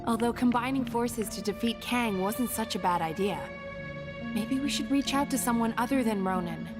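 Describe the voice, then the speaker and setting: A woman's voice: fairly high pitch, normal speed, music in the background, close to an everyday voice. A woman playing an earnest, loyal superheroine sister in a video game, speaking conversationally.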